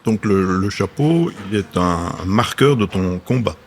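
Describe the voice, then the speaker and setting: A man's voice: low-pitched, lively intonation, unhurried, slight background noise. A man interviewing a guest, asking short prompting questions and supplying missing words. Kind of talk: conversational interview.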